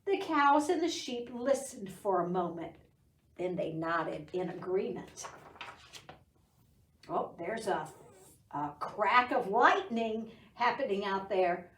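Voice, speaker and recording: A woman's voice: mid-range voice, lively intonation, slow pace, fairly bright tone, recorded from a distance. A middle-aged woman reading a picture book expressively to young children at a storytime. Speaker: library storytime reader. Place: indoor library room. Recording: roomy, voice away from microphone.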